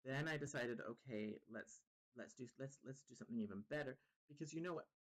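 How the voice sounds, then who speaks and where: A man's voice: medium pitch, fairly quick, fairly bright tone. A man hosting his own channel, talking casually to camera while congested and a little sick, so he sounds nasal, rambling with many ums and restarts. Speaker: YouTube channel host.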